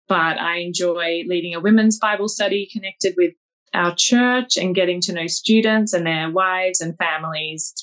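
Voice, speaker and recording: A woman with an Australian accent, a guest author giving calm, measured, personal answers in an interview. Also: lowish voice, low-quality recording.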